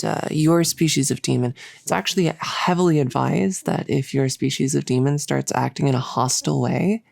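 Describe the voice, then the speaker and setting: A performer playing an earnest, rambling cultist experimenter in a roleplay audio, with casual, halting, awkwardly optimistic delivery, close to the mic. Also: medium pitch, gravelly, a little mellow, no room sound. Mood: apologetic, curious. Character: earnest, rambling, chirpy cultist scientist, gently bossy. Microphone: close, dry studio-style microphone.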